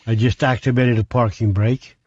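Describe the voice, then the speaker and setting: A man from Hungary, talking a train simulator through step by step, halting and restarting his sentences. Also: mid-range voice, fairly even intonation, darkish tone, muffled recording. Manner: halting, with frequent restarts, talks through each control step. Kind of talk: gameplay commentary.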